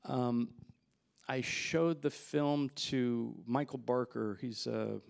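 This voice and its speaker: A man's voice: medium pitch, takes it slowly, vocal fry. A man in his forties, a filmmaker guest at a festival Q&A, telling rambling anecdotes into a handheld mic. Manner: relaxed, rambling anecdotes with fillers and laughs. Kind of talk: festival Q&A storytelling. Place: festival stage in front of a backdrop.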